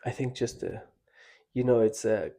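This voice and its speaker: Man from Switzerland, podcast host, soft mellow tone, thinks aloud with restarts. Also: mid-range voice. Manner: reflective, halting, many restarts and fillers. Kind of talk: casual personal conversation.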